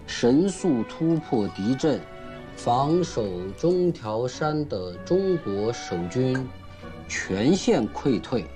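An actor playing a dutiful, nervous young servant who stammers and reads aloud slowly and haltingly, with music playing behind. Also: male voice, medium pitch, very animated. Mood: reading haltingly.

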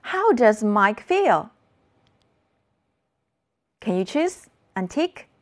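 A woman's voice: mid-range voice, sing-song, extremely slow. A woman teaching children an English lesson, modeling words for the class to repeat.

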